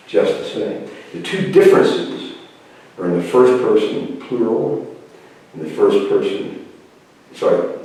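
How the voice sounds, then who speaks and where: Older male lecturer, patient and halting, low mellow voice, recorded from across an echoey classroom. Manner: explains slowly, with pauses and restarts. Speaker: Greek lecturer. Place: university room with a whiteboard. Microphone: camera mic from across the room. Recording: echoey room, voice from far off.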